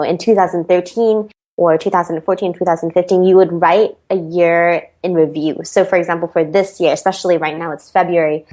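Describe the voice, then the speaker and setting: A woman with an American accent answers interview questions as a performance coach. She is reflective and measured and often pauses on an um, and her voice sits slightly low. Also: a little mellow. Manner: reflective, measured, frequent ums.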